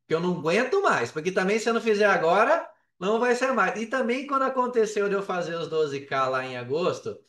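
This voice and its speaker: Echoey, distant Brazilian man, higher-pitched voice. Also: animated, fast, few pauses, a bit bright. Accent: Brazilian Portuguese. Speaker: podcast guest, affiliate marketer. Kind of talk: casual personal storytelling in an interview. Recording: echoey room, distant from the mic.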